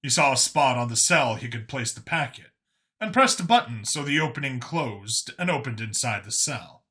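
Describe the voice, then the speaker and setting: A man's voice: mid-range voice, animated, moderate pace, sharp tone. A man reading a science fiction story as a scripted audiobook narrator.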